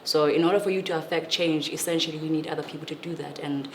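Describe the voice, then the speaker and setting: A woman, explaining clearly and informatively in a panel discussion. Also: deep voice, steady tone, quick pace, a little hiss or hum. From Eastern Cape, South Africa. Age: 20s.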